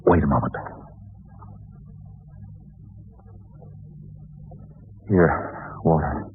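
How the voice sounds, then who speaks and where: Husky, nervy scheming young man. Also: extremely low pitch, normal speed, exaggerated voice. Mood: coaxing. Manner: stammering, hesitant, persuasive. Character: nervy scheming young man sweet-talking his girlfriend. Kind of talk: old-time radio play acting. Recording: tinny, band-limited old radio recording.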